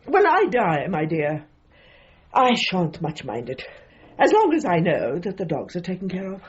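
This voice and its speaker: Older British woman, prim dog trainer, husky, wildly swooping intonation, formal emphatic phrasing, radio comedy drama, slightly muffled sound. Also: fairly low pitch, huge pitch swings, unhurried, full character voice. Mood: indignant, world-weary. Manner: formal, emphatic, precise, old-fashioned phrasing. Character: prim, opinionated old widowed female dog trainer.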